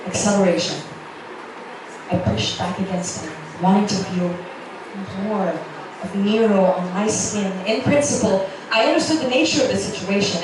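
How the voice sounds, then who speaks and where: A woman narrating a romance story in the first person as a dramatic literary reading. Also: mid-range voice. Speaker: narrator reading a romance novel excerpt aloud. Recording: roomy echo, distant mic, noisy background.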